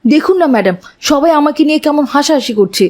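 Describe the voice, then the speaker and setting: A woman's voice: high voice, expressive, fast, darkish tone. A woman voicing a poor, polite, hardworking schoolboy in a children's moral cartoon, earnest and plaintive.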